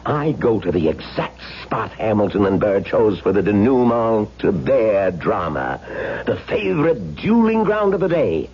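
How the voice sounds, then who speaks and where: An actor playing a pompous, egomaniacal film director in a 1970s radio drama, declaiming theatrically and repeating phrases for effect. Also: male voice, fairly low pitch, sing-song, unhurried, few pauses, husky, heavily acted. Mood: impassioned, lecturing. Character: pompous, egomaniacal male film director, grandiose and self-important. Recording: muffled, noisy vintage radio broadcast recording.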